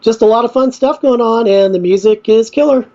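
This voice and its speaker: A man's voice: very high voice, a little fast, warm tone, lo-fi sound. A man who runs a record label, a guest on a podcast, speaking with upbeat enthusiasm. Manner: upbeat and enthusiastic, laughs easily. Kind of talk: casual podcast interview.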